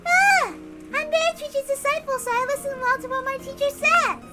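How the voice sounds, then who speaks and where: A woman's voice: very high voice, moderate pace, loud music behind the voice, pitched above the performer's own voice. A woman voicing an eerie, childish little girl who whines and giggles creepily, in a visual novel read aloud. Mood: childish, proud.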